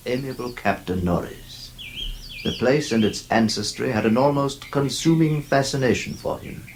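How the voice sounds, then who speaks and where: An actor playing a reflective gentleman heir in a radio horror drama, narrating with formal, deliberate phrasing. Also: medium pitch, a little hiss or hum, natural voice. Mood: wistful, grave. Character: reflective gentleman heir restoring his accursed ancestral priory, sceptical. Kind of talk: old-time radio horror drama, literary first-person narration and dialogue.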